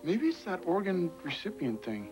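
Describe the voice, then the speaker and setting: A young man acting in a daytime soap, calm and reassuring, on a studio set. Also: high voice, normal speed, music in the background. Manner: calming, reassuring.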